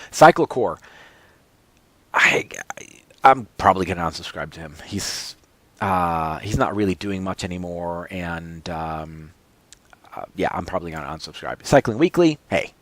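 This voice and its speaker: Low-voiced man in casual rambling chat. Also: no echo. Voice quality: described as boring-sounding. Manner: rambling, frequent fillers and restarts. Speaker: cycling channel host. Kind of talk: casual chat reviewing channels.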